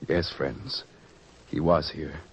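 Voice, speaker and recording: A man's voice: a bass voice, steady tone, slow pace, husky, full character voice. An actor playing a humble, grateful father in an old-fashioned radio drama, speaking earnestly. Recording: dull, hissy old radio recording.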